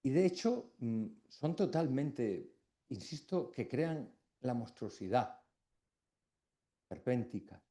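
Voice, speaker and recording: Middle-aged man from Extremadura, formal lecture delivery, reflective and paused, very lively pitch. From Extremadura, Spain. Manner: reflective, with pauses between phrases. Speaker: academic giving an induction speech. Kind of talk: formal academic speech.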